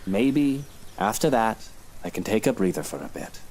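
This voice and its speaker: Suave, dismissive young husband, game role, lively pitch swings, condescending. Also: male voice, moderate pace, gravelly, heavily acted. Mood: brisk, condescending. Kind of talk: visual novel game voice acting.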